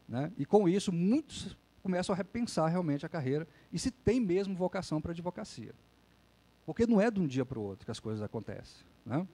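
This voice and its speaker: Mellow-voiced man giving a talk. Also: a little high. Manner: conversational, frequent "né?" tag questions. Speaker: lawyer and lecturer. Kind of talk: public lecture.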